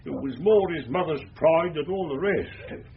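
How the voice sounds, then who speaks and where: An actor playing a humble, grieving father of eighty-seven, halting and deferential, in an old radio drama. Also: fairly high pitch, vocal fry. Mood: tender, grieving. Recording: muffled noisy old radio recording.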